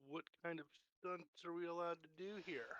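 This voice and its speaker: A man's voice: fairly high pitch, steady tone, normal speed, a bit bright, no echo, lo-fi sound. A man chatting casually as a player in a tabletop roleplaying game.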